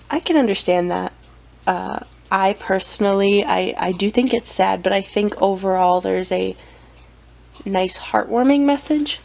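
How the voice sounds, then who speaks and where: Young adult woman, vlogger with a cold; gravelly, chatty, relaxed show-and-tell. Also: mid-range voice. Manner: chatty, with frequent ums and self-corrections. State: has a cold. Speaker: collector showing her anime collection. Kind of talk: casual show-and-tell vlog.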